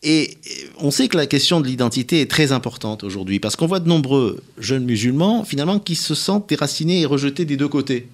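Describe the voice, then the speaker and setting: A man hosting a radio interview, putting long, deliberate, prepared questions to his guest with some hesitation. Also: medium pitch, expressive. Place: radio studio.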